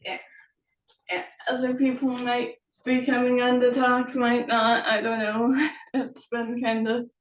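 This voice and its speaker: Woman w/ slow hesitant way of talking, casual online chat, really echoey and kinda distant. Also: fairly high pitch, completely flat delivery. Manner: hesitant, halting, trailing off. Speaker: author hosting a promotional chat. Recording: echoey room, distant from mic.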